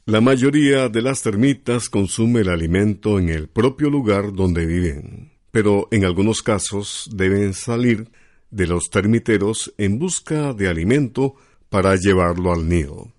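Low-voiced man hosting radio talk. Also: measured pace, a little mellow. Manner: addresses listeners directly. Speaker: radio program host.

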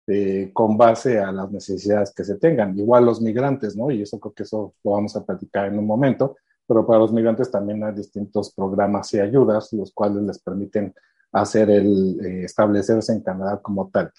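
A man's voice: lowish voice, dark tone, breathy edge. A man from Mexico with a Mexican Spanish accent, explaining immigration as an interview guest. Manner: explanatory, many fillers and restarts. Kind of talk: conversational explanation.

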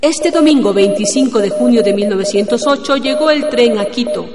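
A woman's voice: a little high, normal speed, big-room sound, natural voice. Woman acting a newsreader through heavy radio noise. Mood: announcing. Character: period radio newsreader announcing historic events.

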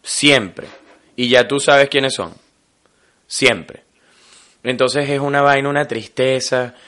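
Un hombre con acento venezolano, copresentador de un podcast, que conversa en tono informal, bromista y lleno de jerga. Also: male voice, mid-range voice, slow pace. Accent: Venezuelan Spanish. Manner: joking, animated, full of slang. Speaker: podcast co-host. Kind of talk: casual chat.

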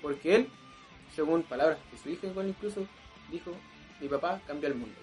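A young man with a Chilean accent hosting a casual podcast chat over beers, slangy and breaking into loud, emphatic rants. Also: fairly high pitch, expressive, fairly quick, faint music behind, rough recording. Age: young adult. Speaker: podcast host.

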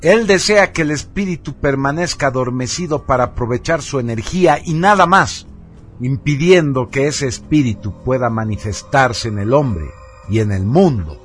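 A man reading a scripted radio narration in a solemn, measured and emphatic manner. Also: mid-range voice, expressive. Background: music.

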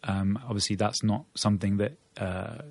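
A man's voice: lowish voice, steady tone, normal speed, vocal fry. A young Englishman from Norwich, a guest on a radio chat, answering thoughtfully with many ums and a few laughs. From Norwich, England. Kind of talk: casual interview answers.

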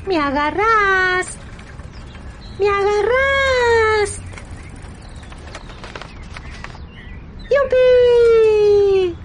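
An Argentine woman playing a needy, excitable talking newspaper in a radio play, with theatrical, childlike eagerness. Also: very high-pitched, extremely slow, heavy background noise, heavily acted. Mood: overjoyed.

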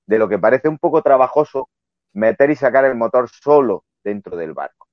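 Man, low-pitched, relaxed rambling talk, dull lo-fi audio, dry. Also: normal speed, no room sound. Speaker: guest, sailing youtuber. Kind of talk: casual video interview. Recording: dull lo-fi sound.

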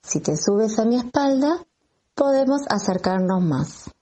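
A woman's voice: fairly high pitch, animated, unhurried, dark tone. A woman with an Argentine accent, a kindergarten teacher narrating a children's story.

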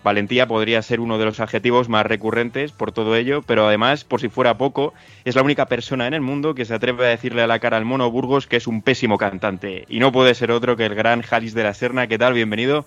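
Spanish man hosting a podcast, smooth voice. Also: mid-range voice, fairly even intonation, fairly bright tone, no echo, dull audio, faint music behind. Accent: Spain Spanish. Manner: halting, repeats words, many fillers. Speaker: podcast host. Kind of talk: casual interview.

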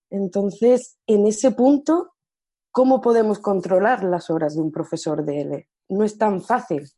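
Female guest from Spain, teacher, warm mellow tone, explanatory. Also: mid-range voice. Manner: explanatory, with small restarts. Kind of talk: casual interview chat.

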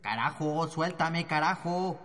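A man's voice: on the high side, slow pace, a bit bright. A man playing a mean, mocking, foul-mouthed convict with a Mexican accent, scornful and taunting, in a radio drama. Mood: scornful, irritated. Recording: noisy, long echoing reverb.

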